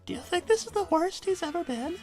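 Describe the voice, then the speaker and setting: Exasperated cartoon mom played by a woman, very high, animated pitch, firm and sardonic, livestream with faint music underneath. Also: quick pace. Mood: defensive. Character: Davina, stern no-nonsense exasperated mother collecting money. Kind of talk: improvised character livestream.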